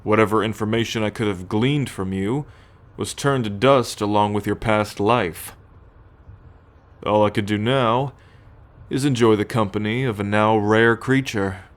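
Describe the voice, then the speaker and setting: A man playing a possessive, haughty alien soldier in an ASMR roleplay, speaking in a measured, imperious way with musing hums and sighs. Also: lowish voice, natural voice. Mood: teasing, possessive. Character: possessive male alien soldier, haughty, teasing, coolly menacing captor. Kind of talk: ASMR roleplay acting, addressed to the listener.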